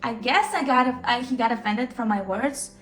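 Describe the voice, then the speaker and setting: High-voiced Ukrainian woman in an echoey room. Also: normal speed, distant mic. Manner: animated, acts out dialogue, frequent restarts. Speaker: vlogger. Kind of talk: casual storytelling to camera. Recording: echoey room, voice off-mic, faint music.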